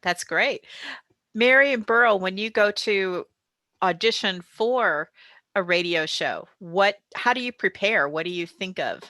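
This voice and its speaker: A woman's voice: medium pitch, unhurried, pushed and bright, dry recording. A woman moderating a Q&A, putting interview questions to a panel. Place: talk before a live audience.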